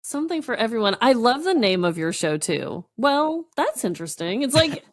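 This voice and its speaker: A woman's voice: fairly high pitch, big pitch swings, normal speed. A woman co-hosting a podcast in casual, conversational chat. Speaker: podcast co-host.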